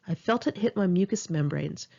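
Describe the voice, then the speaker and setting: Dull-sounding recording, low-voiced American woman narrating. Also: expressive, normal speed, vocal fry, warm tone. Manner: candid, conversational storytelling. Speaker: author reading own memoir. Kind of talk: first-person audiobook narration.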